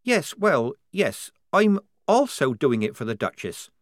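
A man's voice: fairly high pitch, big pitch swings, measured pace, no echo, studio quality, pitched above the performer's own voice. Man doing a wary young woman soldier. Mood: guarded. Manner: cautious, guarded.

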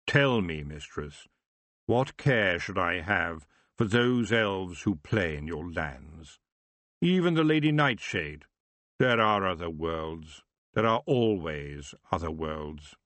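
A man's voice: low-pitched, animated, slow, a bit bright, no room sound, exaggerated voice. A man voicing a lazy, lecherous, seductive elf king for an audiobook, drawling and condescending. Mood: bored, disdainful. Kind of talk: audiobook character voice.

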